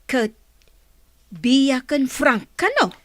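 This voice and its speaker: A woman's voice: high-pitched, sing-song, drawn-out words, fairly bright tone, no echo, exaggerated voice. A woman acting a gossipy, insistent older village woman in an Ilocano radio drama. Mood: gossiping, knowing.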